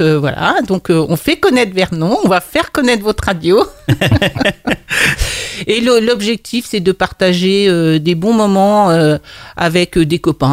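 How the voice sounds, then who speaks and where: A woman's voice: fairly low pitch, dry recording, studio quality. An older retired woman, chatty and full of hesitations, talking as a guest on a local radio show. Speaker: guest, association coordinator. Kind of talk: casual radio interview.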